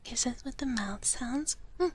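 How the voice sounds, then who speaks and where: A woman streamer whispering softly and gently to her viewers in a breathy, caring ASMR style, close to the microphone. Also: a little high, takes it slowly, background noise. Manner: refers to self in third person. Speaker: live streamer.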